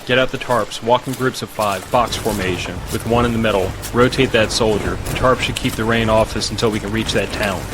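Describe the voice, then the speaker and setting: An actor playing a decisive, no-nonsense army major giving orders in an audio drama. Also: male voice, lowish voice, steady tone, fairly quick, hardly any pauses, background noise, close to an everyday voice. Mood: commanding.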